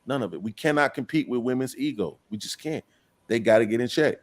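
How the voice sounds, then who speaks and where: A man's voice: mid-range voice, expressive, brisk. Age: middle-aged. Sex: man. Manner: blunt, profane, preachy podcast rant. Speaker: podcast panelist.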